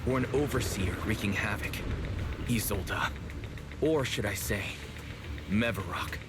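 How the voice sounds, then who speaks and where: A man's voice: on the low side, unhurried, noisy background, close to an everyday voice. An actor playing a composed, probing man in a video game, speaking in a pointed, serious way. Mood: grave, accusing. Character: composed, probing male character confronting a soldier. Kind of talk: dramatic video game voice acting. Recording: game dialogue under loud music.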